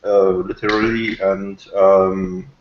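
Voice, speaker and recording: A man reviewing on a podcast in casual discussion, talking in a halting, argumentative way. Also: sits low, little pitch movement, roomy sound, muffled recording, slight background noise.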